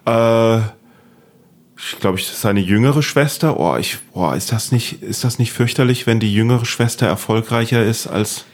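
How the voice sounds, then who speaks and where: A man's voice: lowish voice, measured pace. German guy hosting a comedy podcast, goofs around, repeats stuff for laughs. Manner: playful, repeats words for comic effect. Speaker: podcast host. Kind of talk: casual podcast chat.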